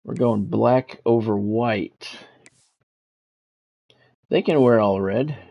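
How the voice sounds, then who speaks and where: A man's voice: medium pitch, slow pace, gravelly, muffled recording. A man streaming a sports video game, mixing casual chat to viewers with play-by-play commentary and repeating himself as he goes.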